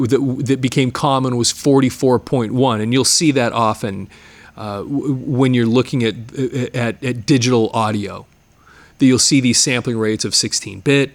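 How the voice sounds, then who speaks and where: A middle-aged man hosting his own channel, explaining audio in a rambling, casual way, full of restarts and repeated words. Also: medium pitch. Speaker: channel host, media digitizing studio owner. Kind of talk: casual explanatory talk to camera.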